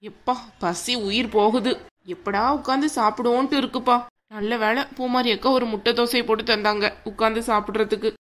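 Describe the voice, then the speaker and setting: A woman plays a young aunt who argues back and complains. She talks fast with a high voice. Her manner is quick and defensive. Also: steady tone, a bit bright, natural voice. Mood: arguing. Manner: argumentative, quick.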